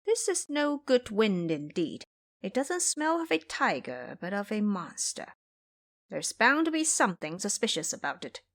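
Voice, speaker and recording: A woman voicing a bold, cocky male monkey hero with a brisk, teasing manner in an audio drama. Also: mid-range voice, sing-song. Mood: confident.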